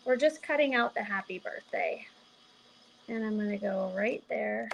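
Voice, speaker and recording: Mild, gravelly woman demonstrating crafts. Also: medium pitch, moderate pace, muffled recording, background noise. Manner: chatty, mild and soft-spoken, thinking aloud while working. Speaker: card-making demonstrator. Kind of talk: casual crafting livestream chat.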